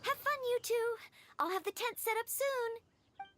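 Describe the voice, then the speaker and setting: Polite, very high-pitched helpful girl. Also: a little slow, background noise. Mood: eager, polite. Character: polite, dutiful young camp helper girl. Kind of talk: video game voice acting.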